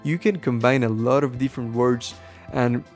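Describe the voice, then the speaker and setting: A man whose first language is Spanish, explaining things casually and haltingly as a podcast host, thinking aloud as he goes. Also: medium pitch, steady tone, faint music behind. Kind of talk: casual unscripted explaining to listeners.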